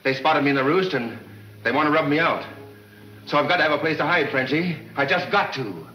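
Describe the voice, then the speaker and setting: An actor playing a frightened American crook on the run, talking tough slang in a 1940s film serial. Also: male voice, on the high side, a little fast, bright, forceful tone, a little hiss or hum. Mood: desperate, pleading. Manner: slangy tough-guy talk. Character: desperate, frightened small-time crook on the run. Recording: echoey old 1940s film soundtrack with music.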